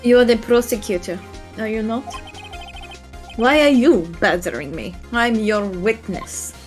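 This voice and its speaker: A woman voicing a cool, dismissive female courtroom witness in a game fan dub, with measured, condescending phrasing. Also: fairly high pitch, animated. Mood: irritated, haughty. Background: music.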